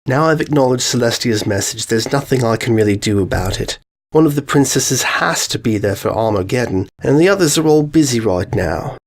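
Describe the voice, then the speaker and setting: A man voicing a playful female pony princess who teases gently. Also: mid-range voice, lively intonation, a little fast. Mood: teasing.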